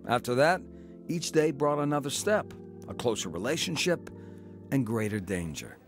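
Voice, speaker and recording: A man's voice: mid-range voice, sing-song, moderate pace, faint music behind, lightly acted. An actor playing an uneasy American tavern owner who tells his own story in a radio drama. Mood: reflective narrating. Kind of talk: radio drama acting and first-person narration.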